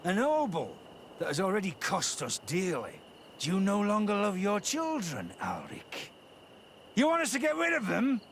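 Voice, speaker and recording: A man's voice: high voice, wildly swooping pitch, normal speed, fairly bright tone, slight background noise, heavily acted. An actor playing a menacing, contemptuous male gang leader in a video game. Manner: contemptuous, threatening.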